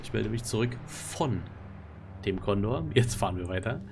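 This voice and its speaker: A man's voice: on the low side, expressive, moderate pace, gravelly, background noise. A native German man giving casual commentary as he plays a video game. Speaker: let's player. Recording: game music behind voice.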